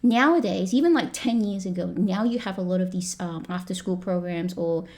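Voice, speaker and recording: A woman in her thirties from Malaysia talks as a podcast guest, slightly low in pitch. Her accent slides between Australian, British and American. She rambles easily, full of fillers and quick restarts. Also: a little mellow. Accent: mixed Australian, British and American. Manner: chatty, laughing, frequent fillers and restarts. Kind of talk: casual chat.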